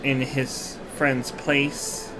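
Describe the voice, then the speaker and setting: A man dubbing a game, speaking in a hesitant, halting way. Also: medium pitch, little pitch movement, over music, low-quality recording. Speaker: game voice dubber. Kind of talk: fan dub of a game.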